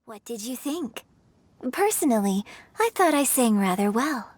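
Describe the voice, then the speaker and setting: A woman's voice: on the high side, sing-song, a little slow, no room sound. An actress playing a poised, gracious young female opera singer in a video game, composed and articulate. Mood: serene, kind. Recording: music under the voice.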